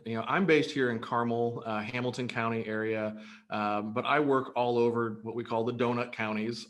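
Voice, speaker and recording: An upbeat man in his thirties, born in Greece, answers interview questions casually. He talks earnestly and keeps restarting his sentences with ums and uhs. His pitch sits in a middle range for a man. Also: fairly bright tone. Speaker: real estate broker, guest.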